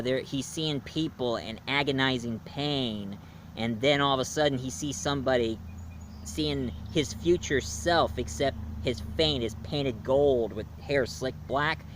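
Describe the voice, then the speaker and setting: A man's voice: mid-range voice, measured pace, slight background noise. A man reviewing a movie in a casual, rambling way, with fillers and restarts. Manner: rambling, loose, with fillers and self-corrections.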